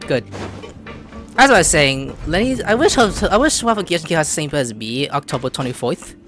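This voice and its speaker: Wildly animated low-voiced woman gamer. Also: normal speed. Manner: exclamatory, gushing, talks to the characters and herself. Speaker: gameplay streamer. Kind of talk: excitable let's-play commentary, casual chat. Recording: game music behind the voice.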